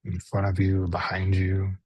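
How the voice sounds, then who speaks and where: A man with a soft, breathy, husky voice leads a guided body scan meditation as a teacher, gently and unhurriedly, pausing between short phrases. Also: low-pitched, completely flat delivery, no room sound.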